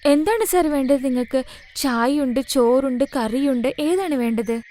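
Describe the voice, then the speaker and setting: A woman plays a young daughter-in-law in a cartoon tale. Her voice is high, and her manner is caring, reassuring and steadily hopeful. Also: moderate pace, mellow, natural voice. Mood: polite. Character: devoted, hopeful young daughter-in-law running a roadside food stall.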